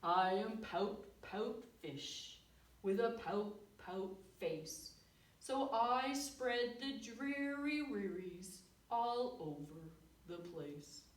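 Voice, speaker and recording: A woman voicing a gloomy, pouting male fish, slowly chanting a mournful sing-song refrain. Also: medium pitch, expressive. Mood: glum. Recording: echoey room, distant mic.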